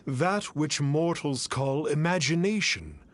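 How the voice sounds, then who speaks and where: Video game sage: lofty, enigmatic man, archaic diction, unhurried, expressive mid-range voice. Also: on the high side. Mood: sagely, serene. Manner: formal archaic speech, grandiose, measured. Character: enigmatic self-styled adeptus, pompous and serene man. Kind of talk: scripted video game dialogue.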